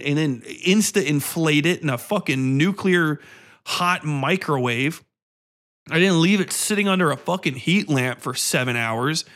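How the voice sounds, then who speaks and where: Male podcast host, slightly high voice, casual ranting delivery, profane and exasperated. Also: a bit bright. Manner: profane, exasperated, blunt complaining.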